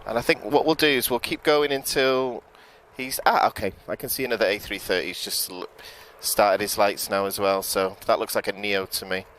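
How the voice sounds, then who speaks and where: A man with a British accent giving relaxed live commentary outdoors at an airport, chatting to viewers with frequent ums and self-corrections. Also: on the low side, moderate pace, few pauses, slight background noise. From United Kingdom. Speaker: live plane-spotting commentator. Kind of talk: live-stream commentary, reading out viewer chat.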